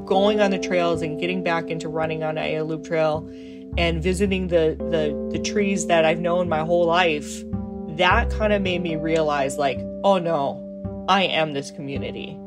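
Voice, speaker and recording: A woman's voice: sits low, fairly even intonation, normal speed, over loud music. An American woman in her thirties from Hawaii, telling personal stories in a casual, reflective, conversational way in an interview. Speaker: interviewee, hiker and educator.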